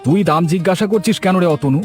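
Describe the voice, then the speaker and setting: Casting: man to play cocky village food-stall husband for cartoon comedy, quick, scornful, highish male pitch. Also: music in the background, natural voice. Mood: scornful, irritated. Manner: boastful, scornful, emphatic. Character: boastful, stubborn village biryani cook and stall owner, husband.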